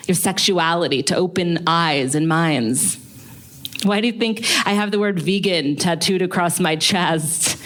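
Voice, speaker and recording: A woman acting a bold, teasing activist in her thirties in a live stage radio play. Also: fairly low pitch. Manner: forthright, teasing. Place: on stage in a live theatre performance.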